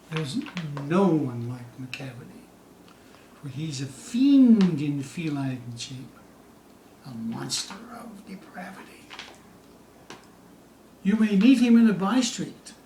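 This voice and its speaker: A man giving a theatrical poetry recital, dramatic and suspenseful, with drawn-out phrases moving between hushed dips and loud peaks. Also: a little high, very animated. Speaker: poetry reader. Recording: distant, echoey room with faint hiss.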